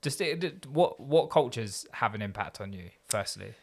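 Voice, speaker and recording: A man hosts a conversational podcast, his voice low and gravelly. He thinks aloud as he talks and asks long, winding questions that stop and restart. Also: animated, moderate pace. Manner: thoughtful, rambling questions with restarts. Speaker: podcast host. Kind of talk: conversational interview.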